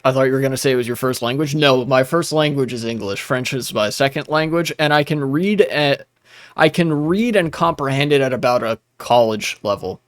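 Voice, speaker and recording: A man's voice: medium pitch, fairly quick, no room sound. A young man streaming while gaming, talking casually with many restarts and blunt asides.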